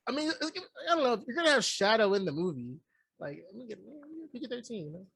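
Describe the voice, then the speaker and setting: A man's voice: very high voice, big pitch swings, quick pace, vocal fry, bright, forceful tone, breathy edge, no echo. A man co-hosting a movie review podcast, chatting casually in slang, cursing freely and repeating phrases to make his point.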